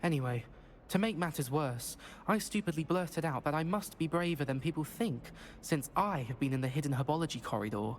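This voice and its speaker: A man's voice: a little high, animated, brisk, a little hiss or hum, lightly acted. An actor playing a nervous, self-deprecating young male wizard student in a video game, speaking hesitantly and fussily. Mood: anxious. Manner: hesitant, fussy and self-conscious. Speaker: game character.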